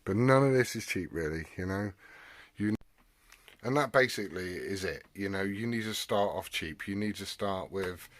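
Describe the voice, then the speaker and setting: A man's voice: deep voice, moderate pace. A British man chatting casually and unscripted as a hobbyist vlogger, rambling and blunt in his way of talking.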